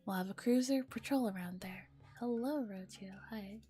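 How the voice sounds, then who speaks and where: A woman streamer softly reading a visual novel aloud in a relaxed ASMR style and chatting casually with her viewers. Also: medium pitch, normal speed. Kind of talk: soft ASMR reading with casual chat and commentary. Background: music.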